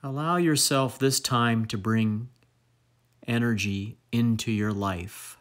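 A man leading a guided meditation as instructor, speaking in measured phrases with long pauses. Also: mid-range voice, steady tone, fairly bright tone.

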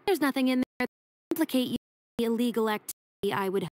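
An actress playing a dutiful, composed young police captain in a video game, speaking formally and with measured authority. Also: female voice, a little high, expressive, darkish tone, no room sound, close to an everyday voice. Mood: composed, sly. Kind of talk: scripted video game voice acting.

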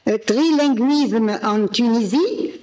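A woman moderator reading a speaker's biography from notes at a desk mic, with pauses and fillers. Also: fairly high pitch, a little mellow. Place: ornate hall indoors. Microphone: desk gooseneck mic.

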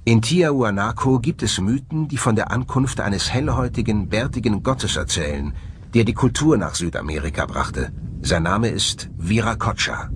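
Low-voiced man narrating, lively swooping intonation. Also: normal speed, noisy background. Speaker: documentary presenter and narrator. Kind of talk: scripted documentary narration.

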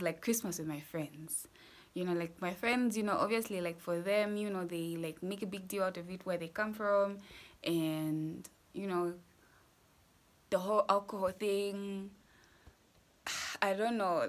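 A young woman talking casually to camera in her bedroom, chatty and full of fillers, laughing often, filmed at arm's length. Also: lowish voice. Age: young adult. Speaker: vlogger. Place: bedroom at home, indoors. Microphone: phone or camera at arm's length.

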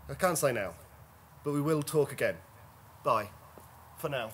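Urgent, secretive stranger, faint background noise. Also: male voice, mid-range voice, animated, a little slow, a bit bright. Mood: insistent, secretive. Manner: apologetic, cryptic. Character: urgent, secretive male stranger giving a warning. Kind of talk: audio drama acting.

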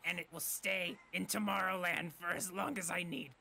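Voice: mid-range voice, fairly even intonation, normal speed, creaky, pushed and bright, slight background noise. A performer voicing a brash, cocky, foul-mouthed cartoon thief with sarcastic delivery in a comedic fan dub. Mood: defensive.

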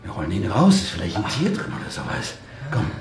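An actor playing a gruff, sardonic male morgue attendant in a German radio drama, dry and colloquial. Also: low-pitched, wildly swooping pitch, fairly quick, over music, full character voice. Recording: echoey room, noisy ambience.

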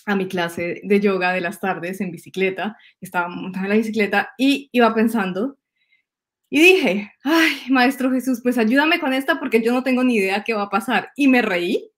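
A woman in her thirties with a Colombian Spanish accent, telling stories with lively energy and frequent laughter. She talks over a webcam from a room at home, often repeating words as she goes. Her voice sits at an ordinary middle pitch. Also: normal speed. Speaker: host, astrologer. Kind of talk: casual spiritual conversation. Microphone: video call webcam.

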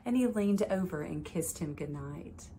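A middle-aged woman reading a children's storybook aloud in a measured, expressive way, indoors in a small room. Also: sits low, a little hiss or hum. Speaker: guest story reader.

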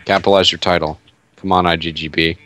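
A man's voice: sits low, little pitch movement, fast, fairly bright tone. A young man giving casual commentary and banter as a co-host on a video call. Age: young adult. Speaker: co-host of a track review stream. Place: home room on a group video call. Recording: music playing behind the voice. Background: music with guitar.

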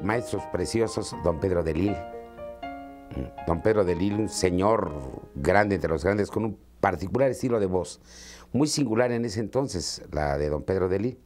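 Older guy remembering radio days, low voice, reverent, bit of music behind. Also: darkish tone. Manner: deliberate, reverent, repeating names. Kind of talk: reminiscing in interview.

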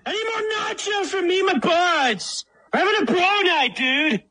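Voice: very high voice, lively intonation, moderate pace, sharp tone, full character voice. An actor playing a whiny, childish, dramatic frat-bro man in a comedic cartoon dub. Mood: petulant whining.

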